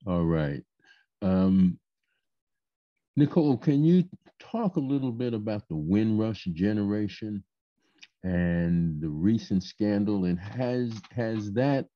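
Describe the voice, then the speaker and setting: A man interviewing a guest, asking measured, careful questions. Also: low voice, no room sound. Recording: dull, muffled lo-fi audio.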